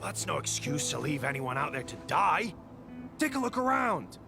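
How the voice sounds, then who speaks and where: A principled, passionate male survivor in a video game. His voice is gravelly and fairly high for a man, swinging expressively over music. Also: sing-song, moderate pace. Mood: impassioned, indignant. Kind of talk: video game cutscene acting. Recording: music behind the voice.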